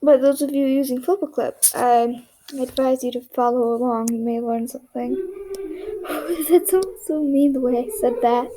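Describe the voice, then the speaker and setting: A young woman narrating a casual drawing tutorial in a monotone, rambling way, often dropping to a whisper as she works. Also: high-pitched, moderate pace, slight background noise, poor audio. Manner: monotone, rambling, self-deprecating.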